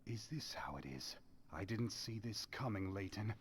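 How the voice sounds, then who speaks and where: A menacing, lovesick vampire nobleman from a video game. He is theatrical and possessive, with a low voice that swings dramatically and lingers slowly over words. The game audio has clear noise behind it. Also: expressive. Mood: quiet menace. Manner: theatrical, drawn-out delivery. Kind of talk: video game cutscene acting.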